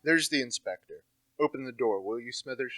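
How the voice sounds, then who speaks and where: A man's voice: medium pitch, fairly quick, very noisy, natural voice. A young man acting as an insistent, self-assured amateur detective in an amateur radio play. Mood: grave certainty. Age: teenager to young adult. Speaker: actor.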